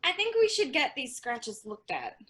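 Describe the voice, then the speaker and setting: A woman's voice: high voice, huge pitch swings, normal speed, fairly bright tone, full character voice. A woman playing a naive, sweet, childlike young woman in a staged reading of a comedy. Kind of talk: staged reading of a comic play.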